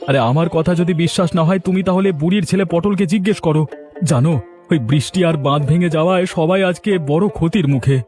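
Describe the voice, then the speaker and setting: A man's voice: sits high, quick pace, darkish tone, faint music behind, close to an everyday voice. A man playing a boastful, self-serving landlord's henchman chatting at home in a cartoon, sounding smug. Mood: excited. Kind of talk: Bengali cartoon dialogue acting.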